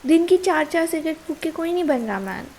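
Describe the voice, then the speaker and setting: High-voiced Indian girlfriend, scolding but caring, acted by a woman. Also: expressive, brisk, gravelly, warm tone, slight background noise. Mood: scolding, frustrated. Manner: pleading and chiding, switching between Hindi and English. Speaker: ASMR roleplay creator. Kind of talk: intimate ASMR girlfriend roleplay.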